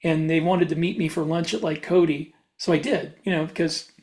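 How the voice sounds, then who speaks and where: A man's voice: on the high side, steady tone, a little fast, darkish tone, slight background noise. A man chatting casually as a podcast host and author, with a wry manner. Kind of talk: casual chat.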